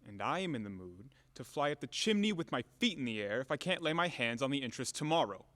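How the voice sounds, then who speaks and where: An actor playing a furious, self-pitying landowner who rants and grumbles theatrically in an audio play comedy. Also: male voice, mid-range voice, very animated, fast, a bit bright. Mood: indignant, demanding. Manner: theatrical, exasperated ranting and grumbling. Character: blustering, furious, self-pitying male landowner demanding his money. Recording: echoey hall-like reverb.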